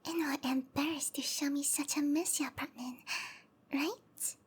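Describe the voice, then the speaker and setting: A woman voicing a sweet, obsessive young snake-monster girlfriend in a roleplay ASMR, soft and whispery, with a cutesy, pleading delivery. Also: sits high, steady tone, unhurried. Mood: puzzled, doting.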